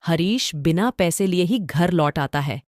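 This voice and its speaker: A woman tells a moral story in a low, mellow voice with a clear, steady delivery. The recording is dry and close. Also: a little slow, dry recording. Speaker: narrator. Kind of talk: scripted story narration.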